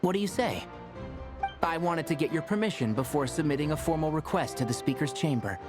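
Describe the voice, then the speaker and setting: A man voicing a knowledgeable, calm, friendly young scholar in a video game, articulate and explanatory. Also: a little high, a little fast, natural voice. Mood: reassuring. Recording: game music behind.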